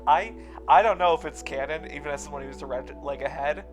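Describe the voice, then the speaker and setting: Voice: mid-range voice, brisk, faint music behind. A person reading a visual novel aloud with friends, moving between narration and casual banter.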